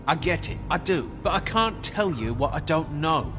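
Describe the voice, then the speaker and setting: Man playing cheeky high-pitched suspect, swooping delivery. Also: lively intonation, moderate pace, music in the background, natural voice. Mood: defensive. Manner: sarcastic, flippant. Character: cheeky, sarcastic, evasive male suspect, stubborn and defiant. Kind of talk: video game drama acting.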